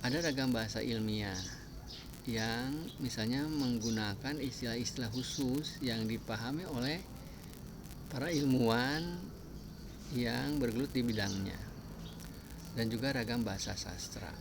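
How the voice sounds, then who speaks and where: A man giving a spoken lesson, listing examples one by one with frequent pauses. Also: mid-range voice. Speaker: language teacher. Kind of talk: spoken lecture on language varieties. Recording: clear background noise with birdsong.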